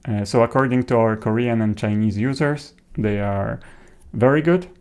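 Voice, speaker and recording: A man, a native Polish speaker with a Polish accent, presents an informal software tutorial in a halting, hesitant way. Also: medium pitch, smooth. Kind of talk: informal software demo narration.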